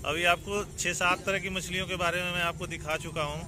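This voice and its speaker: A man's voice: high voice, steady tone, brisk. A man vlogging on location, asking curious questions, explaining to viewers and repeating answers back, recorded outdoors on a handheld phone. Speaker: vlogger interviewing fishermen. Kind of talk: casual on-location vlog commentary with questions. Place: outdoors on fishing boats at a harbour. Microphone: handheld phone, behind the camera. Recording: noisy outdoor phone recording, engine and harbour ambience.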